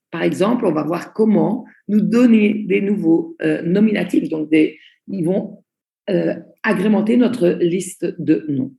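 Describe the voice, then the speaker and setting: A woman leads an online training session, speaking slowly and deliberately and repeating her key points. Her voice is mellow with a gravelly edge. The video call sound is roomy and echoey. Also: fairly low pitch. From Belgium. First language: Italian. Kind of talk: online training presentation, spoken slowly for interpreters.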